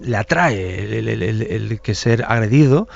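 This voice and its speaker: Gravelly male expert, halting delivery. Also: fairly low pitch, expressive, slight background noise. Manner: halting, searching for words, repeating. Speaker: expert commentator, doctor. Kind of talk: spontaneous expert explanation. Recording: dull, muffled lo-fi sound.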